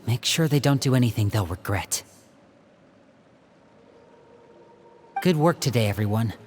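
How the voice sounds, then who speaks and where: A man acts out an earnest young man who leads his group in a video game. He talks in a measured, serious manner with a middle pitch, weighing things like a worried older brother. Faint ambient noise sits behind him. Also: animated, a little mellow, close to an everyday voice. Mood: resolved, caring.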